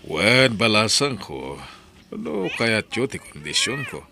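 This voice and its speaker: Man playing a very low, gravelly boss. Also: wildly swooping pitch, slow, sharp tone, a little hiss or hum, exaggerated voice. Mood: businesslike. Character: well-off male household employer, calm and bargaining. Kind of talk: audio drama acting.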